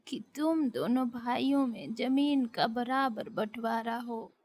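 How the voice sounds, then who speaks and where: A woman voicing a gentle, weary aged mother-in-law in an animated Hindi moral story, with measured speech. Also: on the high side, a little hiss or hum. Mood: pained, explaining.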